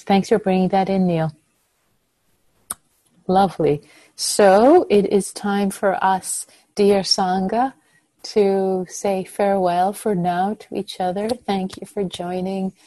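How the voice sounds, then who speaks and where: Soft-spoken woman teacher with a mellow voice. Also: fairly low pitch, dry recording. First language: Persian. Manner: soft, nurturing, frequent affirmations. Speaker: meditation teacher. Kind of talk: gentle group discussion. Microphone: video call.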